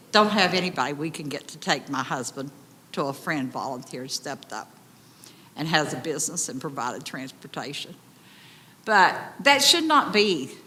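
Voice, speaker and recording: An upset woman with a big, loud voice, pleading urgently as a resident at a public meeting. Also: deep voice. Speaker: resident addressing county commissioners. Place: county commission meeting room. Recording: echoey hall, distant reverb.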